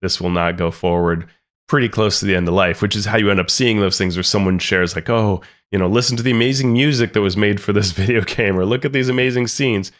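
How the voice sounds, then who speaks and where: A man's voice: on the low side, fast, fairly bright tone. A man co-hosting a podcast, reading out quotes and commenting on them in a casual, restart-filled way. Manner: reads quotes aloud then comments, many restarts. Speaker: podcast co-host.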